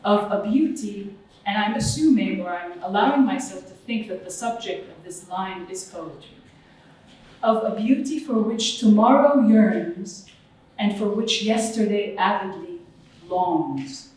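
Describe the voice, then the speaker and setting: A woman from Lebanon giving an academic lecture on Arabic poetry, measured and thoughtful with some hesitations. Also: mid-range voice, lively intonation, a little mellow. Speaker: guest lecturer on Arabic literature. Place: lecture room at a cultural center. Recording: distant mic in a very echoey hall.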